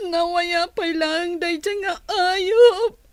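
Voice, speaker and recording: A woman voicing a distraught, pleading young village girl in a radio drama, with an Ilocano accent and a tearful, drawn-out delivery. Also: very high voice, no echo, exaggerated voice. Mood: despondent. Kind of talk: Filipino radio drama acting.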